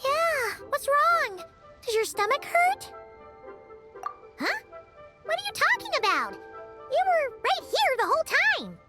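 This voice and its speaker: A woman voicing a tiny, excitable, childlike fairy companion in a cartoonish video game. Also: extremely high pitch, takes it slowly, long pauses, over loud music, full character voice. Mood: puzzled, excitable. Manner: speaks of self in third person.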